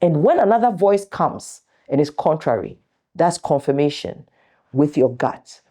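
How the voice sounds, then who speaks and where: A middle-aged woman giving a motivational talk as host, speaking deliberately and emphatically in short, weighted phrases with pauses. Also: deep voice, very animated, dark tone. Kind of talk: motivational talk to an audience of viewers.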